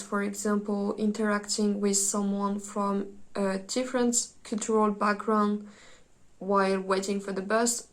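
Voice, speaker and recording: A young woman from France with a French accent, a psychology PhD student speaking enthusiastically in a prepared talk to camera, with small grammar slips. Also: medium pitch.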